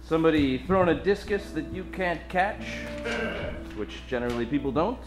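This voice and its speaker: A man acts out a hard-drinking private eye in a comic noir radio play. His voice is high and very animated, full of wisecracks. The sound is roomy, with music clearly behind him. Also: moderate pace, natural voice. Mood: wisecracking. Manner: joking, hard-boiled gumshoe patter. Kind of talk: live comedic detective radio play acting.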